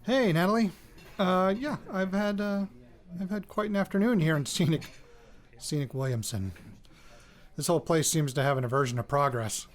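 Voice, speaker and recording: An actor playing a weary, jittery male radio reporter recording personal audio notes for a fiction podcast, in a halting, self-interrupting way. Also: on the high side, lightly acted. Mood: wry, weary.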